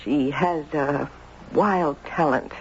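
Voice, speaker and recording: A woman's voice: sits low, slow. An actress playing a gracious, enigmatic middle-aged mother in a radio drama. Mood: mysterious. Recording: muffled radio broadcast, faint noise.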